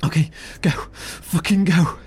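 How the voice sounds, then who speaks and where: A man's voice: on the high side, steady tone, slow, darkish tone, slightly rough, full character voice. A man acting a gritty, protective, sweary apocalypse survivor in a naturalistic horror audio drama, with terse, wry delivery. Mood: urgent, shaken. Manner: naturalistic, terse, sweary, wryly self-deprecating. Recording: noisy in-world field recording.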